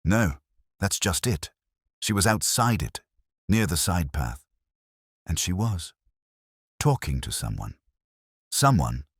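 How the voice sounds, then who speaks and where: A man acts out a prim gossip, a woman telling her news in an audio mystery. She is fluttery and self-important, swooping up and down with breathy relish. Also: low-pitched, sing-song, slow, long pauses, dry recording, clean studio sound, pitched above the performer's own voice, full character voice. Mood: conspiratorial. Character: fluttery, prim, gossipy female village busybody.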